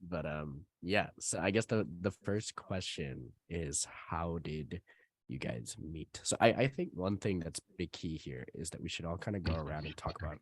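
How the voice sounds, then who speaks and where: A man's voice: rumbling low, moderate pace, slightly rough, no room sound. A nineteen-year-old man hosting a casual podcast, talking with hesitant ums and easy laughs. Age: young adult. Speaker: host.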